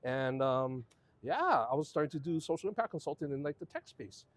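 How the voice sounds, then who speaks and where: A man's voice: a little high, brisk, no echo. A man, a podcast guest telling his life story in a loose, rambling way with plenty of fillers. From Hawaii. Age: 40s. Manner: relaxed, rambling storytelling with many fillers and restarts. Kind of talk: casual conversational interview.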